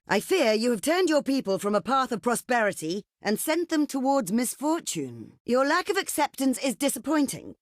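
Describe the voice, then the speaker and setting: A woman's voice: mid-range voice, no echo, clean studio sound, close to an everyday voice. A woman playing a proud, imperious ancient desert queen for a video game, speaking in a regal, theatrical, declamatory way. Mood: cool disappointment. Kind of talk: video game diplomacy voice lines.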